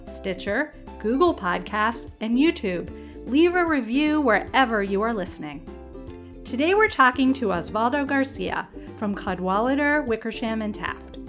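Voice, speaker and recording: A woman's voice: on the high side, fairly bright tone. A woman hosting a podcast, reading a scripted intro and asking friendly, upbeat questions. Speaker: podcast host.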